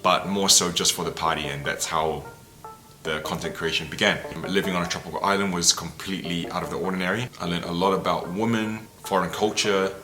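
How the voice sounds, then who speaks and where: A man's voice: sits low, steady tone, a little fast. A man, telling his life story to camera in a candid, reflective, casual way. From New Zealand. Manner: reflective and candid, loose and conversational. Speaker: travel content creator. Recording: voice over loud background music. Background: music.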